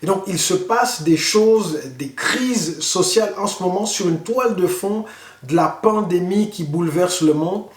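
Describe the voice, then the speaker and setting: Man, pastor, high voice, earnest, measured, echoey room. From Canada. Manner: earnest, measured, slows down on key words. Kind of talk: online sermon talk.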